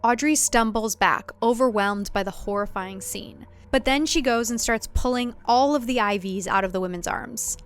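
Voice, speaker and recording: A woman's voice: a little high, moderate pace, few pauses, smooth. A woman reading scripted thriller fiction as a narrator, in a dramatic storytelling style.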